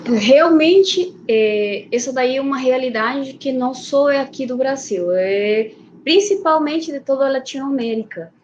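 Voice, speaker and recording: A woman from Peru, a Spanish native speaker, speaking Portuguese with a Peruvian accent as a guest urologist in an online panel, measured and often checking in with "né" and "tá". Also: high-pitched, lively intonation, darkish tone, highs cut off, a little hiss or hum.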